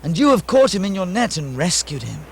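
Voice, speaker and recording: Man voicing calm holy exorcist, slightly high pitch, soothing, instructive, puppet theatre, faint noise. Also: brisk, vocal fry, full character voice. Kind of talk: theatrical puppet-show acting.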